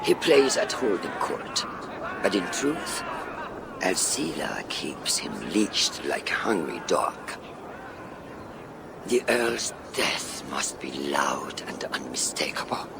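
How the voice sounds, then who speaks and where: A man playing a shrewd, secretive ally in a video game, speaking formally and deliberately in a deep gravelly voice. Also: big pitch swings, noisy background, full character voice. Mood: conspiratorial. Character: calm, secretive male conspirator-ally, measured and shrewd.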